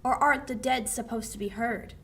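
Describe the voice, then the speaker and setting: A young woman playing a sharp, accusing, challenging woman in a 1940s radio play. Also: fairly high pitch, a little fast, creaky. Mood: taunting. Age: young adult. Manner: biting, challenging.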